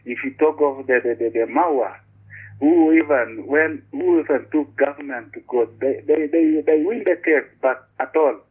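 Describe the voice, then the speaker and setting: A man with a Ugandan accent speaks earnestly over a call, often restarting and repeating words as he explains. His voice comes through husky and thin, the sound squeezed into a narrow, phone-like band. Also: fairly high pitch, normal speed. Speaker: community beekeeper guest. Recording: husky tinny phone-line audio.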